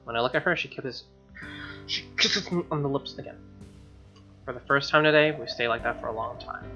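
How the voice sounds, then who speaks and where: Male let's-play host; sharp bright voice, mid pitch, casual snark and swearing, dull recording. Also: a little fast. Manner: snarky, profane asides. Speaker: gaming video host. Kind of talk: reading a visual novel aloud with casual commentary. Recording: dull lo-fi mic over music. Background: game music with guitar.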